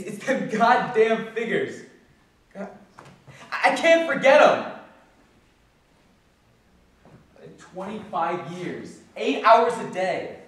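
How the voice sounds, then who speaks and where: An actor playing an agitated, bitter working-class bookkeeper in a rambling, slangy, halting theatrical stage monologue. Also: male voice, medium pitch, sharp tone. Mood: bitter, weary. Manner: rambling, halting, slangy, swinging from muttering to outbursts. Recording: echoey distant stage recording, faint noise.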